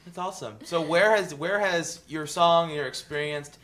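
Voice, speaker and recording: A man's voice: fairly high pitch, moderate pace, a bit bright, a little hiss or hum. A man hosting a radio interview who keeps praising and encouraging his guests. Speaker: radio show host.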